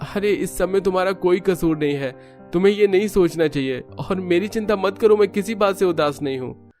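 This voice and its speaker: A man's voice: high-pitched, a little fast, darkish tone, soft background music, voice pushed up, natural voice. A man voicing a caring, worried young brother in a cartoon story, speaking earnestly and emotionally. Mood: affectionate.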